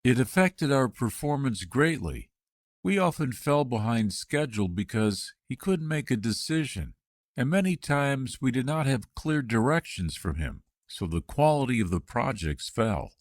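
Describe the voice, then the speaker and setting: A man reads a candidate's answers in a scripted job-interview practice dialogue. His voice rises and falls a lot, and his delivery stays clear and measured. The recording is close and dry. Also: mid-range voice, big pitch swings, dry recording.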